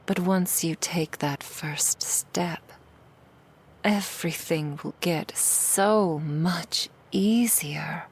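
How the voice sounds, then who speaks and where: A woman playing a seductive female demon in an ASMR roleplay, coaxing the listener in a breathy whisper with soothing, insidious persuasion. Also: lowish voice, very slow, heavily acted. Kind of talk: ASMR roleplay, intimate monologue.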